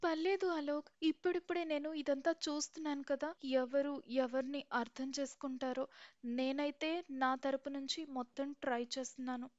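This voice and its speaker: A woman's voice: high-pitched, steady tone, moderate pace, a little mellow, no echo, dull audio, natural voice. A woman voicing a young newly married bride who speaks earnestly for herself. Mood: gentle. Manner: earnest, pleading.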